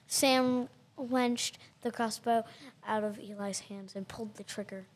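A woman's voice: fairly high pitch, fairly even intonation, measured pace. A woman reading scripted narration for an audio drama in a storytelling style.

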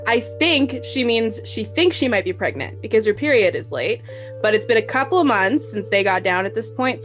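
A woman's voice: a little high, a bit bright. A woman narrating as podcast host, giving dry, blunt, sarcastic commentary on a dramatic reading.

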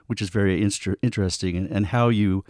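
A man hosts an interview and asks long, winding questions. His voice sits low. His sound is dry and close. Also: steady tone, fast, darkish tone, dry recording. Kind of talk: podcast interview.

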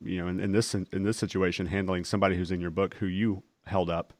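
A man from Texas hosting an interview, talking casually in quick, hesitant bursts with lots of fillers. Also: low-pitched, flat delivery, a little mellow, no room sound. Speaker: podcast host.

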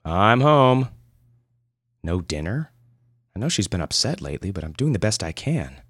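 A man voicing a tired, struggling young father who talks in low, muttered tones in an audio story. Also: big pitch swings, normal speed, a bit bright, slightly rough, no echo, studio quality, heavily acted. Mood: weary.

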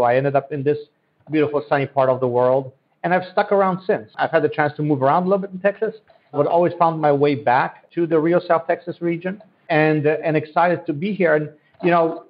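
An earnest man from Central Europe, a native Polish speaker, speaks in a slightly high voice. He pitches his points with promotional zeal and returns to the same phrases. The recording sounds dull and lo-fi. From Central Europe, born in Poland, raised in Germany. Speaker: economic development guest. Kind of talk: podcast interview answers. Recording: dull, lo-fi audio.

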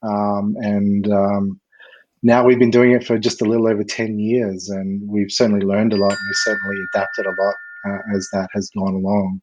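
A man with a fairly low voice gives a careful, technical explanation. He pauses often on "um" and "uh", and he talks over a video call. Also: level tone, a little mellow, dull audio. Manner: measured, with frequent um and uh fillers. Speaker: guest expert.

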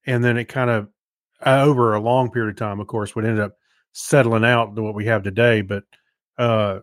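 A man's voice: on the low side, fairly even intonation, normal speed, no room sound. Man chatting casually, dry close sound. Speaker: podcast co-host. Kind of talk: casual chat.

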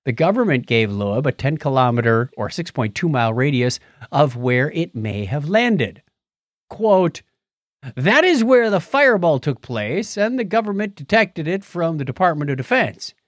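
A man's voice: fairly high pitch, sing-song, normal speed, no room sound, clean studio sound. A man with a wry, joking manner, co-hosting a podcast and reading news articles aloud in casual chat. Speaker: podcast co-host reading odd news stories.

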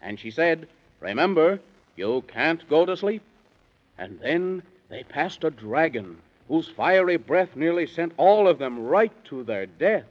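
A man's voice: fairly high pitch, sing-song, unhurried. A man narrating a 1930s children's radio serial in theatrical, sing-song rhyme. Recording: dull, lo-fi 1930s radio recording.

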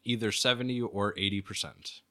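A man's voice: on the low side, steady tone, a little fast, pushed and bright. A man co-hosting a podcast, reading quiz questions aloud and chatting casually in an easygoing way. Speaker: podcast co-host, quizmaster.

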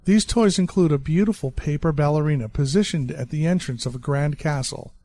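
A man's voice: on the high side, brisk, dark tone, dry recording. A man narrating a short story with wry, arch asides, with faint music behind him.

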